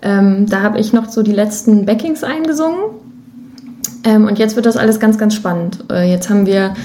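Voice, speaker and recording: A woman's voice: mid-range voice, moderate pace, mellow, slight background noise. A woman talking casually and informally as a musician guest in an interview, with an enthusiastic manner. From Germany. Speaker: podcast guest, musician and singer.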